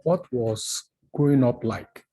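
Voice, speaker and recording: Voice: mid-range voice, animated, takes it slowly, dark tone, breathy edge. An interviewer asking short, plain questions over a video call.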